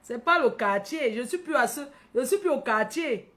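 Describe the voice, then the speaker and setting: High-voiced woman with a Cameroonian accent. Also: animated, normal speed, sharp tone. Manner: calls out to viewers by name, repeats phrases, tags questions. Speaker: livestream host. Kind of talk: casual live chat addressed to followers.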